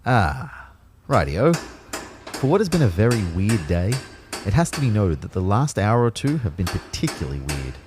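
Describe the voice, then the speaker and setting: A man with an Australian accent playing a bemused, wry first-person narrator in an audio drama, with a husky, expressive voice. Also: lowish voice, normal speed, background noise.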